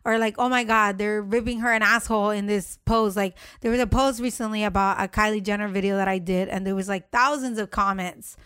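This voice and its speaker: A woman with a deep voice, speaking bluntly and emphatically in a casual chat. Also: steady tone, fairly quick, few pauses, fairly bright tone, clean tone, dry recording, studio quality.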